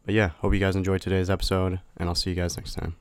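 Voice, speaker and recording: A man's voice: low-pitched, fast talker, clear, resonant tone, no echo. Congested young man hosting a podcast. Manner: relaxed, chatty, laughs often. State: congested from a cold. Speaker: podcast host. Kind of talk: casual podcast chat.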